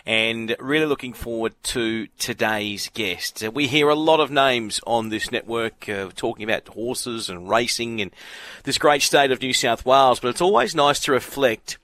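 A man's voice: mid-range voice, moderate pace, a bit bright, no echo. An Australian man hosting a sports radio show, chatting with a guest in a friendly, easygoing way. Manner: friendly, chatty, some fillers. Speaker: radio host. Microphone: studio microphone.

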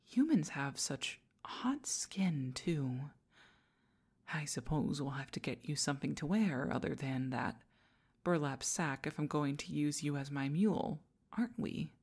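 A woman's voice: sits low, darkish tone, husky, exaggerated voice. A woman playing a haughty, easily flustered demon queen in an ASMR roleplay, speaking in imperious, pompous commands. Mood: flustered, critical. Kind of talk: ASMR roleplay addressed to the listener.

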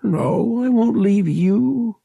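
A man's voice: sits high, expressive, slow pace, gravelly, mellow, husky, no room sound, voice pushed up, full character voice. A man voicing an emotional, loyal teenage boy hero in an audiobook, crying out urgently. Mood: grieving, desperate.

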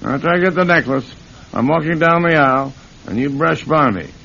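A man's voice: a little high, fairly quick, gravelly, sharp tone, exaggerated voice. A man playing a cocky middle-aged American con man and thief in an old-time radio crime drama, slangy, brash and bossy. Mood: instructing, cocky. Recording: muffled, hissy old radio broadcast.

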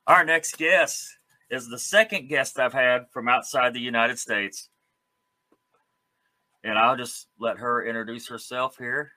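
A man hosting an interview show in a casual, enthusiastic, chatty way, quick to laugh. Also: mid-range voice, pushed and bright. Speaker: host of a music interview show.

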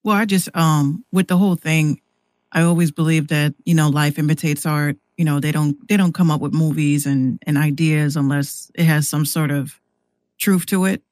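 A woman's voice: low-pitched, fairly even intonation, moderate pace, a little mellow, dry recording, clean studio sound. An American woman chatting casually as a podcast guest, informal and a bit hesitant, often repeating words. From New York City, United States. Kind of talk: casual conversation.

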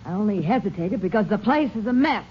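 Actress as evasive woman, guarded, defensive, mid-pitched, 1950s radio drama, muffled old recording. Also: lively intonation, quick pace, noisy background, natural voice.

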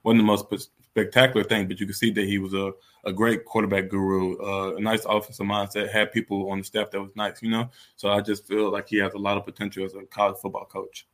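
Low-pitched young American man, candid. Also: level tone, fast, few pauses. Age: 20s. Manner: candid and conversational, frequent "you know". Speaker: college football player being interviewed. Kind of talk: casual interview answers.

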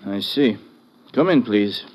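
A male actor playing a curt, guarded relative of a missing man in a 1950s radio drama, speaking in a wary, formal way. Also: medium pitch. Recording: muffled vintage radio, faint noise, clicks.

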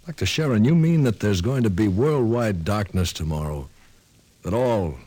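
A man's voice: fairly low pitch, lively intonation, moderate pace, mellow, husky, no echo. An actor playing a skeptical young man in a radio drama, who keeps firing off incredulous questions. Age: young adult. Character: young male reporter, skeptical, growing frightened. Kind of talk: 1950s radio drama acting.

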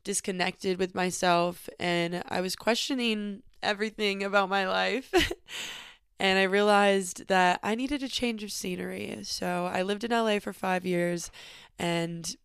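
A woman's voice: mid-range voice, steady tone, unhurried, creaky, fairly bright tone, clean tone, no room sound, clean studio sound. A young woman from Northern California hosting a casual podcast from a small cabin, talking openly and reflectively with lots of "like" fillers, a little sniffly from allergies. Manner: open, reflective, frequent "like" fillers. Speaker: podcast host. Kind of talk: casual personal monologue.

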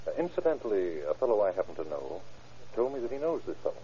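An actor playing a reserved, matter-of-fact bank manager in an old radio crime drama. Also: male voice, lowish voice, very animated, quick pace, dark tone, a little hiss or hum. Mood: cautious. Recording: muffled lo-fi old radio recording.

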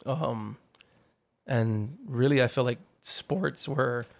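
A man in his thirties from Hawaii tells his story as a podcast guest, his mid-pitched voice carrying a gravelly rasp. He talks in a loose, easygoing way, full of "like" and "you know". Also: slow. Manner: relaxed and chatty, with frequent "like" and "you know". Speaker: guest, artist manager. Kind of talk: casual podcast interview.

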